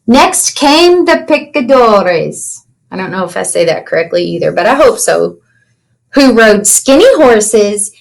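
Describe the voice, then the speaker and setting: Female children's read-aloud teacher: high register, very measured pace, animated delivery, chatty asides to young listeners. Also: low-quality recording. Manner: animated storytelling, asking children simple questions.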